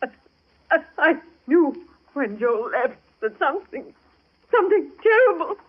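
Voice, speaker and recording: A woman's voice: sits high, sing-song, a little slow. A woman playing a frightened, pleading, tearful mother in an old radio drama. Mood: terrified, pleading. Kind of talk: 1940s radio drama acting. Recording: thin, phone-like old radio recording.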